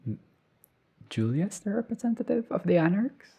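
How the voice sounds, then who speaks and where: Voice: medium pitch, big pitch swings, moderate pace, vocal fry, warm tone, husky. A video game streamer reading a visual novel aloud and dropping blunt, sweary reactions in a casual let's play.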